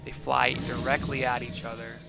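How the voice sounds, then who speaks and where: A man's voice: mid-range voice, little pitch movement, moderate pace, creaky. A man casually describing video game scenes as a streamer, hesitant and colloquial with some swearing. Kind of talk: casual audio description of game cutscenes and dialogue readout. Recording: voice over loud game music. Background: loud game music.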